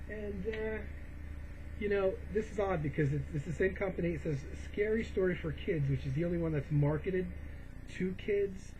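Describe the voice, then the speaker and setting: A man's voice: high-pitched, normal speed, a little mellow. A man giving a casual, rambling show-and-tell as a vlogger, hesitant and often correcting himself as he meanders along. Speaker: vlogger showing a collection. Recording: dull lo-fi home recording, clear background noise.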